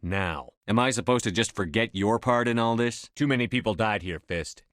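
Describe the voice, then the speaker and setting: A man playing a tough, resolute military space commander in a video game, speaking in a terse, commanding, measured way. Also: lowish voice, fairly bright tone, no echo, lightly acted. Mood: menacing, urgent. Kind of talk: scripted video game dialogue.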